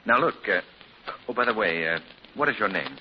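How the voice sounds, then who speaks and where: A suave, assured gentleman detective, acted by a man, with a lively, rising and falling delivery. Classic 1940s radio drama acting. The sound is muffled old broadcast with a light hiss. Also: on the low side, brisk, close to an everyday voice.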